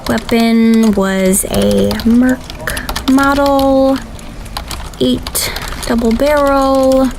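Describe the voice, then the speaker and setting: A woman's voice: a little high, noisy background. A woman acting an anxious, self-critical surveillance analyst in an audio drama. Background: music.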